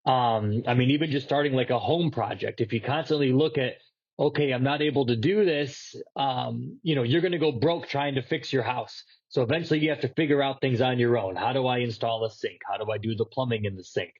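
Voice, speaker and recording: A man's voice: medium pitch, brisk, lo-fi sound. A man co-hosting a fitness podcast in casual chat, congested and nasal from a lingering cold, rambling and cursing loosely. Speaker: podcast co-host.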